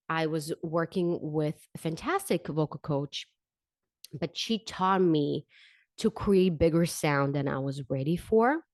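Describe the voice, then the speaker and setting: A woman with a low-pitched voice speaks as a podcast host, sharing a personal story. She is candid and reflective, often repeating words for emphasis. The sound is dry and close, with very little room in it. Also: no room sound. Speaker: podcast host and vocal coach.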